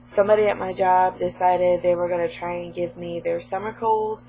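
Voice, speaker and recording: Hoarse sniffly lady rambling about her game haul, lowish voice, faint hum behind. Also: fairly even intonation, normal speed, warm tone, poor audio. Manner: chatty, rambling, many fillers. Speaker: game collecting vlogger. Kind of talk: casual chat about game pickups.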